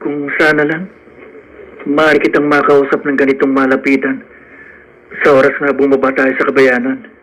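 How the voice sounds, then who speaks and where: A man's voice: medium pitch, completely flat delivery, normal speed, pauses often, a bit bright, close to an everyday voice. A man acting in a Filipino radio drama as an earnest, poor young suitor, lovelorn and proud, speaking solemnly. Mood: tender, earnest. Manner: heartfelt, solemn declarations.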